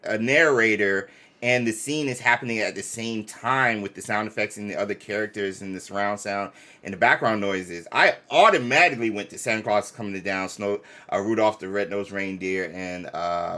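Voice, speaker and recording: A man's voice: lowish voice, fairly quick, sharp tone. A man co-hosting a casual live reaction stream, enthusiastic and slangy, often repeating himself for emphasis.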